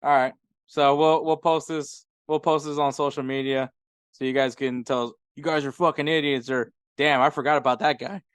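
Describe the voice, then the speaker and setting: A man's voice: on the high side, steady tone, moderate pace, a bit bright, clean tone, no room sound. A man co-hosting a sports podcast in casual soccer chat, with an informal, jokey way of talking.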